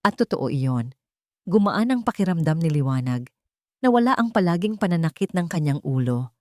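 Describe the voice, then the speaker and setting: A woman with a Filipino accent narrating a romance drama in an emotive, expressive storytelling manner. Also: low voice, normal speed, no echo, clean studio sound.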